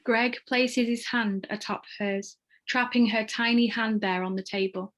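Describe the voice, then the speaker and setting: A woman reads screenplay stage directions as narrator in a measured, descriptive manner. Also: on the high side, steady tone, fairly bright tone.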